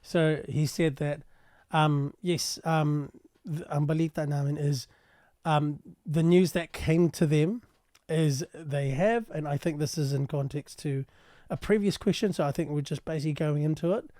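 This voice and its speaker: A man translating and explaining a news clip in a casual, halting way with many pauses. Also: fairly high pitch, warm tone, no room sound. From New Zealand. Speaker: translator and commentator.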